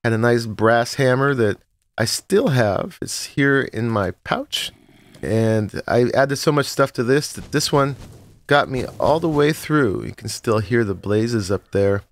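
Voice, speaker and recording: Relaxed man with a froggy morning voice. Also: mid-range voice, a little slow. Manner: relaxed, rambling, with frequent "uh" and short laughs. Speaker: Minecraft let's-play host. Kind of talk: casual gameplay tour narration.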